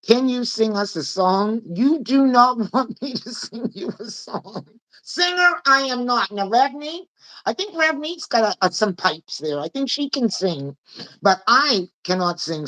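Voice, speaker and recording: A woman with a raspy voice, hosting a casual live-stream chat and laughing often. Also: medium pitch, animated, unhurried, a bit bright, poor audio. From Nova Scotia. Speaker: host. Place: home room with fake northern lights projector.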